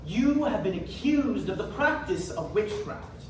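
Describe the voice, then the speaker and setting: A man's voice: sits high, sing-song, a little slow. A man playing an officious, pompous English witch trial magistrate, declaiming formally in a theatrical stage style. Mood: commanding. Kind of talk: theatrical readers theatre acting. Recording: echoey hall from far off, noisy.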